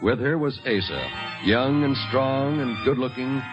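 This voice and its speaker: A dull vintage radio recording with clear music under a male narrator. He delivers scripted dramatic narration with lively, swinging intonation. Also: mid-range voice, unhurried.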